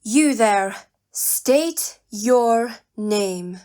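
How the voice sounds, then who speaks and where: Proud cat princess, played by a woman, high-ish voice, unhurried delivery, stern, formal, commanding, audio story acting. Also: lively intonation, mellow. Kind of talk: dramatic audio fan-fiction character acting.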